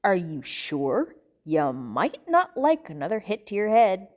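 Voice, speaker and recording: Woman voicing exasperated, menacing male bartender, slow and deliberate. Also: very animated. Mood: threatening. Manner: low, threatening, slow deliberate delivery. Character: exasperated, menacing bartender man interrogating a captive.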